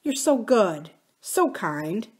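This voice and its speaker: A woman voicing a sweetly pleading, manipulative young woman in an audiobook, with soft, drawn-out phrases. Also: medium pitch, big pitch swings. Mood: sweet gratitude. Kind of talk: audiobook character dialogue.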